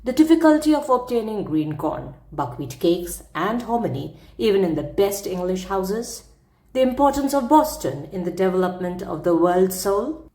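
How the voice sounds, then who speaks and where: A woman narrating a classic story as an audiobook, with measured, precise phrasing. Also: mid-range voice, mellow. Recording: roomy, echoing sound.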